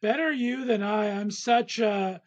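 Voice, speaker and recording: Male interview host, high and husky voice, quick encouraging reactions. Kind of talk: casual interview chat.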